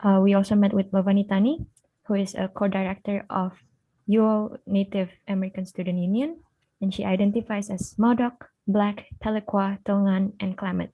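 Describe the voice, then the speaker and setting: A young woman from Indonesia, an architecture student, giving an academic presentation over a video call in a soft, hesitant way with many fillers. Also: mid-range voice, level tone, moderate pace. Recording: tinny phone-like line.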